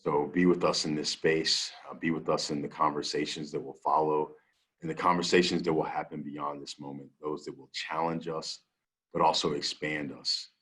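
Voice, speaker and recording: A man speaking as a minister, reflective and deliberate, with halting phrasing. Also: very deep voice, little pitch movement, fairly bright tone. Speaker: minister, guest. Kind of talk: reflective talk and spoken prayer.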